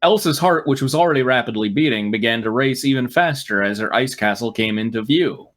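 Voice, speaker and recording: A man's voice: medium pitch, lively intonation, brisk, fairly bright tone. A man reading fan fiction aloud as host, narrating dramatically with wry, amused asides. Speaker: reader and host.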